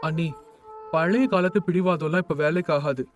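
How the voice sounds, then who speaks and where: A man's voice: on the high side, normal speed, lightly acted. A man playing a practical, discontented younger brother who speaks in a matter-of-fact, complaining way in a family story. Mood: insistent. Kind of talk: dramatised moral story. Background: faint music.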